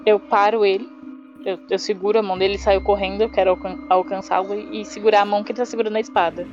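A woman's voice: medium pitch, little pitch movement, quick pace, darkish tone, soft background music. Brazilian woman gamer, slightly dull sound. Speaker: player in tabletop game. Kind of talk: casual role-play narration.

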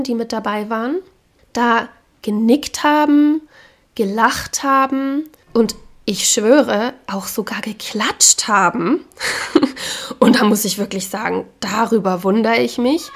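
A woman hosting a podcast, speaking frankly and with opinions while hoarse from a cold. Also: a little high. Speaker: podcast host. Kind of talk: casual solo podcast talk.

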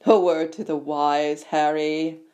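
A vain, jovial gentleman as a woman reader plays him, booming and hearty. He talks down to everyone with pompous, patronising good cheer, in storybook style. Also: unhurried, lightly acted. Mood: paternal condescension. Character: pompous, jovial grown man, self-loving and patronising.

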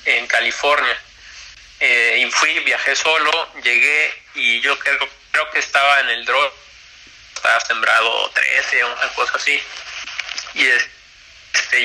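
A man's voice: mid-range voice, bright, forceful tone, poor audio. A young man talks casually as an interview guest about college tennis, with many fillers and restarts.

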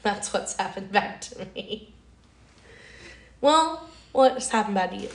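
A young woman with a raspy, hoarse voice from a lingering cold chats away on a podcast and steers the talk. Her tone has a gravelly fry in it. The room around her rings with echo. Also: medium pitch, animated, slow pace. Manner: talkative, chatty, leads the conversation. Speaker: podcast co-host. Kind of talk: casual chat. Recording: echoey room.

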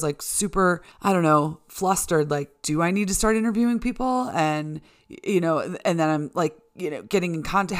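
A middle-aged American woman with a low-pitched voice hosts her own podcast alone. She speaks in a candid, informal monologue straight to the listener, loose and conversational, with the odd swear word. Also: a bit bright. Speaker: solo podcast host.

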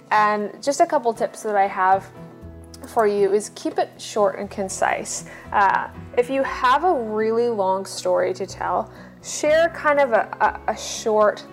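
Female, podcast guest, informal explanatory talk, mid-range voice, faint music. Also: medium pitch. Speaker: podcast guest giving advice.

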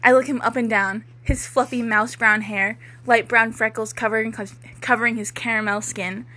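A woman's voice: a little high, steady tone, moderate pace, bright, forceful tone. A young woman reading amateur fiction aloud in a mock-dramatic way, with giggly, informal joking banter.

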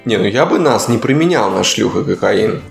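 A man's voice: fairly low pitch, lively intonation, normal speed, creaky. A man co-hosting a casual comedy podcast, laid-back, swearing and laughing often. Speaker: podcast co-host. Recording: echoey room with music.

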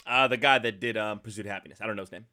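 Animated guy on a movie podcast, sharp bright voice, jokey and sweary, casual riffing. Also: on the low side, very fast. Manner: animated, joking, profane. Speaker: podcast co-host. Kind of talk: casual film discussion, riffing on trivia.

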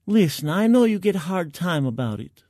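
A man voicing a female military medic in an audio story, gentle, earnest and concerned as she probes. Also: high voice, very animated, moderate pace, dry recording, studio quality, pitched above the performer's own voice, exaggerated voice. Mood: gently probing.

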